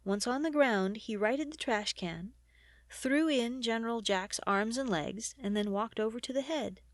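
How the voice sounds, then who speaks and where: A woman narrating a story as an audiobook reader, in an expressive, animated storytelling manner. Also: a little high, a little slow, dry recording, studio quality.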